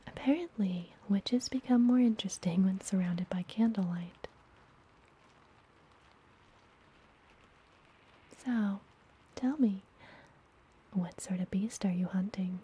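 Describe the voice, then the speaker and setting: A woman playing a friendly apothecary witch in a fantasy ASMR roleplay, speaking gently in a breathy, soothing whisper. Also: medium pitch, slight background noise, lightly acted. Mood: gently teasing. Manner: slow, gentle, soothing delivery. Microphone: close ASMR microphone.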